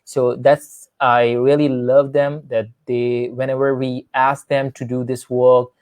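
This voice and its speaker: A man's voice: medium pitch, little pitch movement, unhurried, darkish tone, no echo. A man from Pakistan, an English teacher, talking earnestly in a casual conversation and often restarting with "I mean". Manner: earnest, repeats himself with "I mean".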